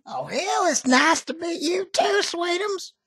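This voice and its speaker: A man's voice: very high voice, very animated, measured pace, a bit bright, full character voice. A man doing a salty old Southern country woman. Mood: brash flirty. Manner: folksy, salty, drawling, calls people honey. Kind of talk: prank call.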